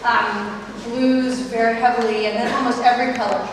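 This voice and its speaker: A woman's voice: on the high side, fairly even intonation, moderate pace, background noise. A woman in her thirties giving a guided tour of a gallery as its curator, chatty, with many ums and restarts. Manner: chatty, many ums and restarts, walking and gesturing while talking. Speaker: museum curator. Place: large gallery room, indoors. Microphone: handheld mic through a loudspeaker, recorded by a far camera. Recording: echoey gallery, speaker far off.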